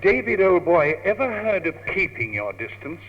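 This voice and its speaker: Wry, teasing British gentleman. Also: high voice, sing-song, moderate pace. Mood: wry exasperated. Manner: wry, sardonic, urbane. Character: dry, teasing, worldly British chap, Tony. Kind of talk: old British radio comedy acting. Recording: dull, noisy old radio tape, clicks.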